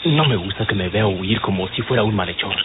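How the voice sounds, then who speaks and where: An actor plays a cautious young sweetheart in a radio serial. His voice is fairly low. The old recording is tinny and very noisy. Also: lively intonation, brisk, lightly acted. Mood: uneasy. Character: cautious, proud young suitor. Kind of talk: old radio soap acting.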